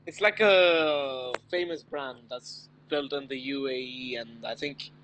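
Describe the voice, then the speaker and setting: A man's voice: mid-range voice, slow pace, a bit bright, a little hiss or hum, rough recording. A man co-hosting a racing live stream in casual chat, easygoing and teasing, laughing often between remarks. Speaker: race stream co-host.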